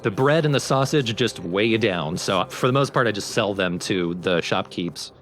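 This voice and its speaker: A man's voice: fairly low pitch, animated, normal speed, fairly bright tone, slight background noise. A man hosting a let's play with casual, explanatory game commentary.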